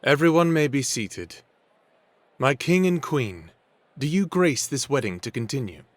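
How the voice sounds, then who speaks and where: Male actor as wedding officiant: mid-pitched, lively intonation, formal declamatory delivery, dry close sound. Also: measured pace, no room sound. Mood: ceremonious. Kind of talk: scripted romantic audio drama acting.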